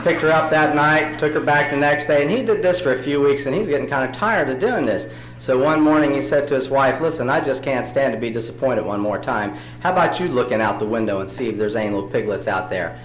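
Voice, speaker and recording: A man's voice: medium pitch, fast, slight background noise. Joke-telling man in a roomy hall. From New York City. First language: Spanish. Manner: folksy joke-telling, self-deprecating humor. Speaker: recovery meeting speaker. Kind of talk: storytelling talk at a recovery meeting.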